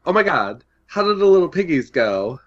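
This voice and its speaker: A man's voice: fairly high pitch, very animated, normal speed, dull audio. A man voicing a doting, dramatic mother in a gushing manner for a comedic amateur table read. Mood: cooing. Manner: gushing, starts lines with "Oh my God".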